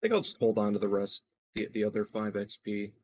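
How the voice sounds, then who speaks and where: A man's voice: fairly low pitch, fast talker, a little mellow, breathy edge. A man chatting casually as a player in a tabletop game, thinking aloud a little hesitantly. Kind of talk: casual gaming chat.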